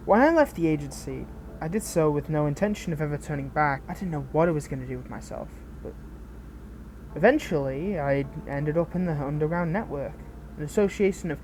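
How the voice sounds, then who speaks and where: A woman playing a protective, bitter but caring fallen hero in an audio roleplay, speaking intimately and earnestly with halting, dramatic pauses. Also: low-pitched, mellow, a little hiss or hum, close to an everyday voice. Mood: explanatory, hesitant. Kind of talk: scripted audio roleplay addressed to the listener.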